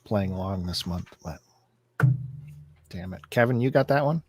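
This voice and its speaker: A man hosting a casual live chat, his pitch swinging up and down as he hypes the segments ahead. He sounds close and dry, with no room around him. His delivery is upbeat and teasing. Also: lively intonation, moderate pace, breathy edge, dry recording, soft background music. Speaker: host of a collectors' live chat.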